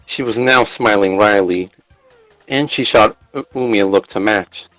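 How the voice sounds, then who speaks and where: A man's voice: on the low side, normal speed, fairly bright tone, no echo, faint music behind, rough recording. A man reading scripted story narration in the role of narrator. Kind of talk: scripted narration of fan fiction.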